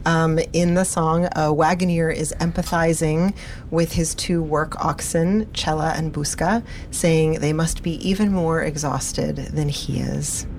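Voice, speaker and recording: Low-voiced woman, plain and explanatory. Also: steady tone, unhurried, a little mellow, slight background noise. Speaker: singer explaining a song. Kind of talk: casual spoken introduction.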